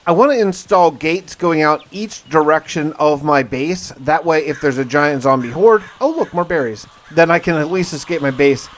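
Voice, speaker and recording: A man's voice: on the high side, moderate pace, hardly any pauses. A man narrating his survival gameplay as a casual first-person story, with dramatic turns and colloquial asides. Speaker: gaming video host and narrator.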